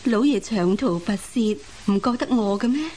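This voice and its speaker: A woman's voice: medium pitch, moderate pace, dark tone, natural voice. A woman acting a courteous, dignified official's wife in a Cantonese radio drama, formal and composed, with a Hong Kong accent. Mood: attentive, polite. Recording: hissy radio drama rebroadcast.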